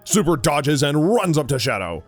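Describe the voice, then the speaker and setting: A man's voice: on the high side, big pitch swings, moderate pace, breathy edge, no echo, soft background music. A man reading scripted narration for an audio drama.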